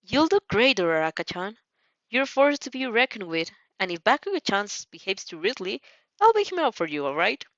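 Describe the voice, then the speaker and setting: Casting: excitable teen anime heroine, acted by a woman, earnest, gushing, lively pitch swings, muffled close dry recording. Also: a little fast, no echo. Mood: warm, encouraging. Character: earnest, excitable teenage heroine, polite and teasing.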